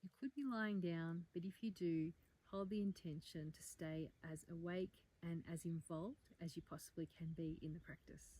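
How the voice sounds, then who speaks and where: A woman teaches a guided meditation in a slightly low, very mellow voice. She is calm and gentle, inviting the listener along. Her phrases are measured and leave room for pauses. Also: slightly rough, rough recording. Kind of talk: guided meditation, soft slow instruction.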